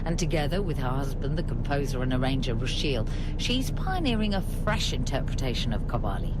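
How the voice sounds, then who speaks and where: A woman hosting a radio arts program, reading measured scripted introductions to her guests. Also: rumbling low, big pitch swings. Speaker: radio arts show host. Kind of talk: broadcast presenting. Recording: heavy background noise.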